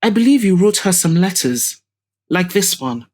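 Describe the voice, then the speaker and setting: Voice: mid-range voice, sing-song, normal speed, a little mellow, voice pushed up. A woman voicing a determined young woman who speaks in a formal, pointed and insistent way in an audio drama. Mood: probing. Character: determined, probing young woman confronting a suspect.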